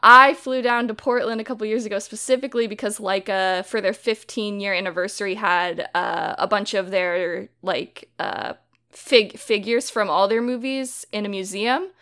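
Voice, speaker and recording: Excited giggly woman cohost, lotta likes and ums, smooth clear voice. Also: mid-range voice, normal speed. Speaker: podcast co-host. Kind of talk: casual film podcast chat.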